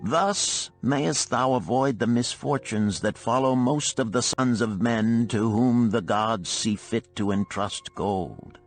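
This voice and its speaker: A man voicing a wise, cautious older gold lender of ancient Babylon in a storybook, solemn and deliberate in archaic speech. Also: medium pitch, faint music behind, voice pushed down. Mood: approving, cautioning. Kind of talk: storybook character reading.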